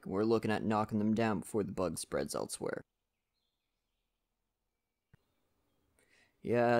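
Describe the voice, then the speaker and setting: A performer voicing a friendly, poetic male lumberjack, chatty and gently courteous, in an ASMR roleplay addressed to the listener. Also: medium pitch, natural voice. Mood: explaining, calm.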